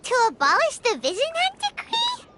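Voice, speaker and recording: A woman voicing a small, childlike fairy companion in a video game, squeaky, curious and expressive. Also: moderate pace, full character voice. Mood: incredulous. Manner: inquisitive, expressive, incredulous. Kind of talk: animated video game voice acting. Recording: loud music behind the voice. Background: loud game music.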